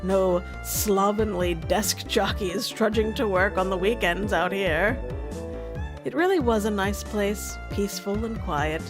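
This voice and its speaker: A woman's voice: mid-range voice, a little slow, over music, lightly acted. A woman playing an easygoing, wry teenage boy who narrates his day in a visual novel game. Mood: content.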